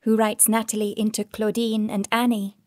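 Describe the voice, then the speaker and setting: A woman's voice: mid-range voice, flat delivery, normal speed, warm tone, dry recording, clean studio sound, lightly acted. An actress playing an impish, gossipy woman friend who narrates in an audio drama with an arch, knowing manner.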